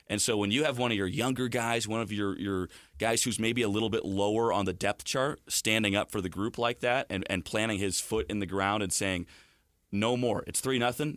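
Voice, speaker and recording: Low-voiced man talking hockey analysis. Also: fairly bright tone, no room sound, clean studio sound. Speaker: hockey play-by-play broadcaster as studio guest. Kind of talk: conversational sports analysis.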